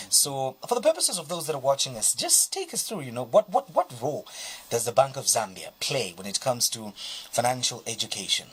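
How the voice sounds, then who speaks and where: A man's voice: mid-range voice, fairly bright tone, slight background noise, low-quality recording. A male TV business show host asking questions in a probing, conversational way. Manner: probing, conversational, repeats words. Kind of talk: TV interview questions.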